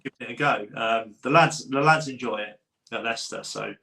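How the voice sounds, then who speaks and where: Chatty male podcast host, relaxed and rambling, recorded with a roomy echo. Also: mid-range voice, fairly quick, gravelly. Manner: chatty, loose, laughs often. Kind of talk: casual podcast chat.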